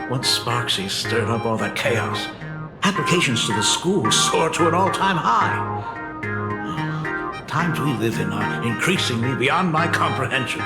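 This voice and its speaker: An actor playing a weary, regretful middle-aged father with a gravelly voice and measured, solemn delivery in a video game. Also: mid-range voice. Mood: wry, welcoming. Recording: echoey long reverb, music behind.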